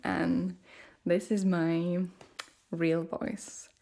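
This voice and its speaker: A woman from Latvia with a distinct Latvian accent, chatting casually and fairly softly in her full voice, often pausing with "um". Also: fairly low pitch, creaky. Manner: relaxed, hesitant with many ums, talking quite softly. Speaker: ASMR creator.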